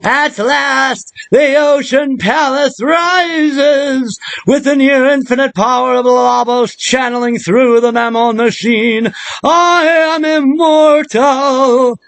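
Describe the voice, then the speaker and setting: A man voicing a gloating, megalomaniac sorceress queen from a fantasy video game, in grandiose, drawn-out villainous declarations. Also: extremely high pitch, fairly even intonation, a bit bright, pitched above the performer's own voice, full character voice. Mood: grandiose, triumphant.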